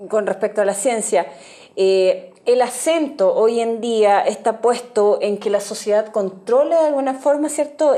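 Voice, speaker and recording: A woman from Chile, a TV news anchor introducing and questioning a guest in a brisk manner. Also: mid-range voice, warm tone. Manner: brisk, prompts with frequent backchannel. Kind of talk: TV news interview. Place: TV studio.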